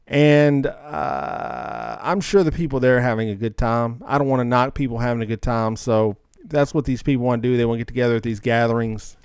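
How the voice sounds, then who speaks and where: A man's voice: medium pitch, brisk, hardly any pauses, a little mellow, clean tone, no room sound. Male podcast co-host, casual and opinionated, rambling delivery, dry close sound.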